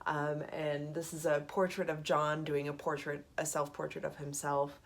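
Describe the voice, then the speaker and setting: Congested lady vlogger in her 30s, low voice, rambly chat at her desk. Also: little pitch movement, moderate pace, gravelly. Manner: chatty, rambling, with many ums and little laughs. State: congested from a cold. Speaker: knitting podcast host. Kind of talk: casual chat to camera. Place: small apartment studio room by a window.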